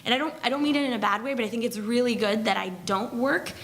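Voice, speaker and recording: A woman's voice: a little high, quick pace, a bit bright. A woman speaking as a panelist, talking in a chatty, loose way full of fillers and restarts. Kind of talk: panel discussion.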